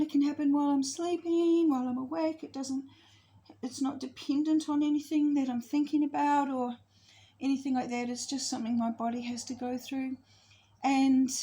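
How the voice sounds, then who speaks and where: A woman's voice: high-pitched, level tone, moderate pace, mellow. A woman in her fifties talking casually to her viewers about astrology, rambling, losing her thread and correcting herself as she goes. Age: 50s. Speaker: astrology vlogger. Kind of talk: casual spoken monologue to camera.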